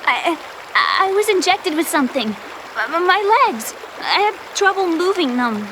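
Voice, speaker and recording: High-voiced, vulnerable young woman. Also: very animated, measured pace, exaggerated voice. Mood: frightened. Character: frightened, vulnerable young woman, stubborn and wounded. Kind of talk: cinematic video game voice acting. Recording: game cutscene with clear water ambience noise.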